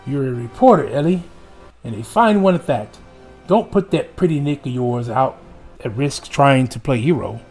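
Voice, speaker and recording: A man putting on a gruff streetwise rogue. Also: medium pitch, animated, normal speed, darkish tone, soft background music, lightly acted. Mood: warning. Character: rough gruff male rogue, streetwise and blunt.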